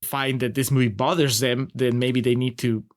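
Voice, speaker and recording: A man's voice: mid-range voice, little pitch movement, normal speed. A man with a Peruvian accent co-hosting a casual film review podcast, wry and sarcastic, often breaking into laughter. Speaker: podcast co-host.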